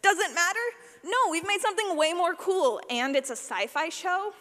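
A woman giving a live workshop talk as a sound designer, animated, playful and informal, acting out examples as she goes. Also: extremely high pitch, normal speed. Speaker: session presenter, podcast sound designer. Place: large indoor session room. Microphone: presentation microphone. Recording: echoey hall, live presentation.

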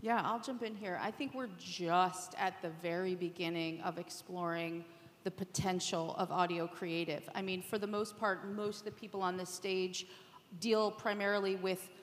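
A woman's voice: on the low side, fairly even intonation, moderate pace, a little hiss or hum. A woman speaking as a panelist in a discussion at a conference. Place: large indoor conference venue. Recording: echoey conference hall with long reverb.

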